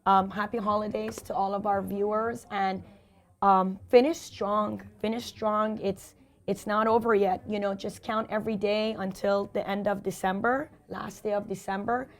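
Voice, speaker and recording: A hoarse woman speaks as a sales coach in an interview, her voice worn from talking all day. She talks in a driven, motivational way and hammers her points home by repeating them. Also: mid-range voice, little pitch movement, normal speed. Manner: emphatic, motivational, repeats key phrases. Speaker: sales and leadership coach, guest. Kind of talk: energetic interview answers.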